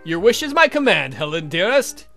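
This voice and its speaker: A courtly vampire count acted by a man from a comic horror game, theatrical and exasperated. The voice is bright and high for a man, delivered with formal, dry wit over faint music. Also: very animated, normal speed, gravelly, full character voice. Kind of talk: visual novel character voice.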